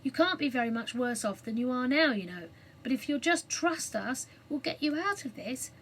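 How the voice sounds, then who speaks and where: A woman's voice: sits high, lively intonation, normal speed, a bit bright, slight background noise. A woman reading aloud, voicing a stern, earnest young boy who talks in whispers.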